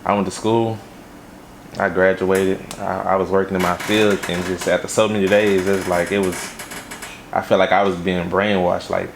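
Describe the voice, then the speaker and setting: A man's voice: low-pitched, little pitch movement, normal speed, vocal fry, background noise. A young man from Los Angeles raised in Baton Rouge, a music video director answering interview questions in a laid-back way with lots of fillers. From Los Angeles, raised in Baton Rouge, Louisiana.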